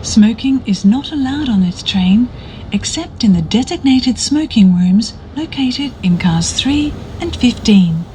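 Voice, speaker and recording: A woman reading a scripted train announcement in a formal, courteous, measured way. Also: fairly high pitch, animated, mellow. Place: inside a moving bullet train. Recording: noisy train cabin, announcement over speakers. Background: train running noise.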